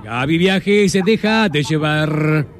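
A man reads radio commercials in a fairly high voice. His delivery is scripted announcer copy, with slogans and business names drawn out. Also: animated, very slow. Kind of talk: scripted radio advertisement read.

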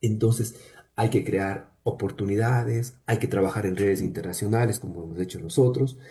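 A man with an accent from Cuenca, Ecuador, a university researcher explaining things at a measured pace with frequent pauses as a guest in an interview. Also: on the low side, mellow. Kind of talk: conversational interview answers.

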